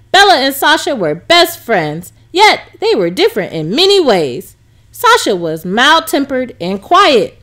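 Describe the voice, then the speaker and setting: A woman reads a children's story aloud. Her voice sits fairly high and moves up and down with lots of expression, in a scripted narration style. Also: a bit bright. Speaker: storybook reader.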